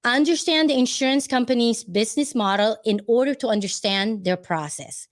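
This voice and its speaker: A woman's voice: fairly high pitch, moderate pace. Rambling woman with a Filipino accent. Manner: rambling, searching for words, many fillers; chatty, laughs easily. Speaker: vlogger giving advice. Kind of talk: casual talk to camera.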